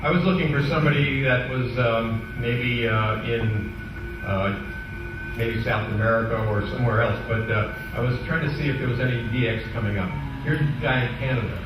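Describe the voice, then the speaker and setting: A man giving an informal technical presentation to a club, explaining a software demonstration step by step in a halting way. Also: medium pitch, dull audio, music in the background. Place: indoor club meeting room. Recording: echoey room from far off, clearly noisy.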